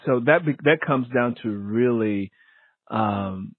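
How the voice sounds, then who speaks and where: A man hosts a book interview in an easy, conversational register. His voice sits at a middle pitch and is recorded dry and close. He talks informally, with colloquial asides. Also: slow, dry recording, narrow, tinny audio. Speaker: interviewer and fellow author.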